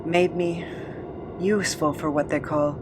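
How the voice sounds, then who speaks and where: Brooding inhuman survivor of captivity performed by an actor, audio drama, confessional and halting, noticeable background noise. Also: mid-range voice, steady tone, close to an everyday voice. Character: traumatised immortal escaped prisoner of inhuman kind, bitter, haunted. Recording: noisy ambience behind the voice.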